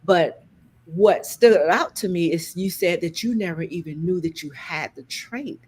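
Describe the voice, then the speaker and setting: A woman's voice: lowish voice, unhurried, a little mellow, husky. A woman with a scratchy voice hosting an online interview, conversational, sympathetic and encouraging. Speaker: host, interviewer. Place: remote video call.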